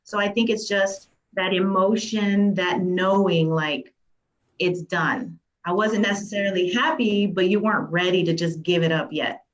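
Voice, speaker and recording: A woman's voice: medium pitch, unhurried, muffled recording. A woman coach on a panel, speaking reflectively from personal experience. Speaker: life coach guest. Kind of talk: panel discussion.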